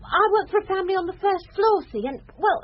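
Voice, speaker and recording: A woman's voice: very high voice, animated, fast talker. An actress with a British accent playing an outspoken, earnest young servant girl in a radio drama. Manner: forthright, chatty, unpolished. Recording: dull, hissy radio drama recording.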